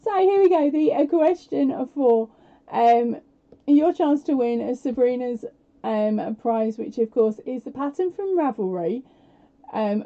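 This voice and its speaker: A woman hosting a live prize quiz on her stream, chatty and self-deprecating with lots of fillers, her voice a little croaky. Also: a little high. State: slightly croaky voice. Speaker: podcast host running a live prize quiz. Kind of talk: casual live-stream chat. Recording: dull lo-fi home stream audio.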